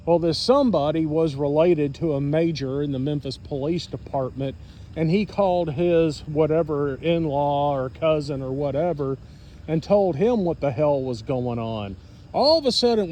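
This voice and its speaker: American male storyteller, voice a bit high, blunt and informal delivery, light background noise. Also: a little mellow. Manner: blunt, sarcastic, plain-spoken. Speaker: storyteller recounting own experience to followers. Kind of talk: casual spoken storytelling.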